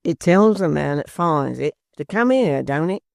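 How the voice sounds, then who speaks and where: A rough, blunt young brawler who barrels straight to the point, voiced by a male narrator. His voice comes up louder and higher than the narration, with a gravelly grain. The recording is close and dry. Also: expressive, normal speed, no echo.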